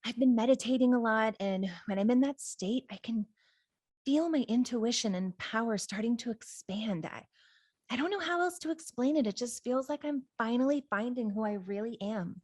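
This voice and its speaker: A woman's voice: on the high side, animated, normal speed, darkish tone, no echo. A woman acts out a hopeful young amateur witch in a drama table read. Her delivery is earnest, candid and conversational, and the sound is dry, with little room around it. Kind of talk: informal script table read.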